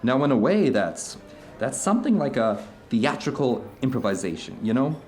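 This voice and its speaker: A man's voice: medium pitch, big pitch swings, normal speed, darkish tone, soft background music, close to an everyday voice. A man playing an earnest theater director telling stories to an old friend in a conversational audio drama. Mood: earnest explaining. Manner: storytelling, earnest, discursive. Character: animated theater director recounting his travels to an old friend.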